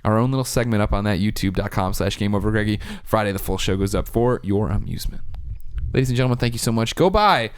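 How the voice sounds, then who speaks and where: A man's voice: fairly low pitch, lively intonation, fast talker, smooth. A man hosting a podcast in casual comedic chat, emphatic and argumentative, swearing freely. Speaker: podcast host.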